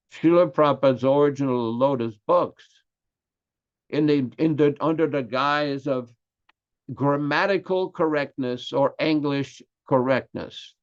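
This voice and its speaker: An older man from Quebec with a Québécois French accent, French his first language, giving an earnest, emphatic class on scripture. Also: on the high side. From Quebec, Canada. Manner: earnest and emphatic, stressing key words slowly. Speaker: religious class speaker. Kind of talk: lecture on scripture. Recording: slightly dull lo-fi audio.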